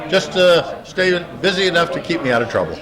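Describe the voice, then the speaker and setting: An older man answering interview questions in a casual, halting way as he searches his memory. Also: on the high side, sing-song, low-quality recording. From upstate New York, United States. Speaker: interviewee, retired plant's former engineer. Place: indoor social gathering. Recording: busy room with crowd chatter.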